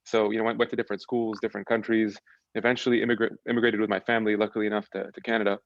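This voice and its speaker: Fast-talking low-voiced young man. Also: no room sound, highs cut off. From Lebanon. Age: young adult. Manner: relaxed, chatty, frequent restarts. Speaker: podcast guest. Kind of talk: casual chat.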